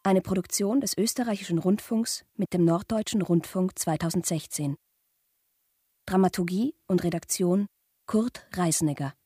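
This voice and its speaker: A woman radio announcer reading scripted credits in a formal, clearly articulated way. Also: fairly low pitch, normal speed, warm tone, dry recording, clean studio sound. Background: music.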